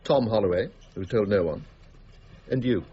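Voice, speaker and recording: An actor playing a concerned gentleman in a period radio drama, questioning in a measured, formal manner. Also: lowish voice, husky, lo-fi sound. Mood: insinuating. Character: concerned, probing gentleman colleague of Newton.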